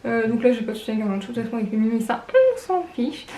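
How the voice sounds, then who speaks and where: A woman's voice: a little high, sing-song, racing, dark tone, echoey room, a little hiss or hum. A young woman with a cold and a stuffy nose, chatting casually and rambling through a daily vlog at home. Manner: chatty, rambling, many fillers and self-corrections. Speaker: vlogger.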